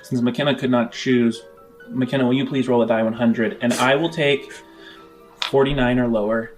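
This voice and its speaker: A man narrating a tabletop roleplaying game as game master, in a measured, descriptive storytelling manner. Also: medium pitch, steady tone. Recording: music under the voice. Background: background music.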